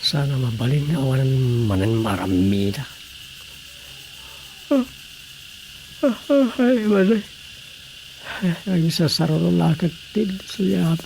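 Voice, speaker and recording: An actor playing a rambling, exclamatory Filipino villager who muses aloud in a radio comedy. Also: male voice, a little high, unhurried, vocal fry, pauses often, darkish tone, a little hiss or hum, low-quality recording. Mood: fretting. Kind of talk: radio drama comedy acting.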